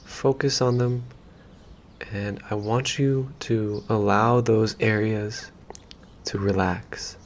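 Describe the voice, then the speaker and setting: Low-voiced man guiding a calm meditation. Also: a little hiss or hum. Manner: gentle, unhurried, with long pauses. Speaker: meditation guide. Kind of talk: calm guided meditation, slow and soothing instruction.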